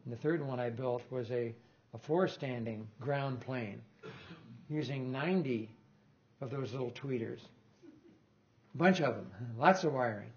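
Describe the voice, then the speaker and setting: A man's voice: mid-range voice, unhurried, muffled recording. An older man giving an informal technical talk in a lecture room, rambling and correcting himself with small chuckles. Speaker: guest lecturer on loudspeaker design.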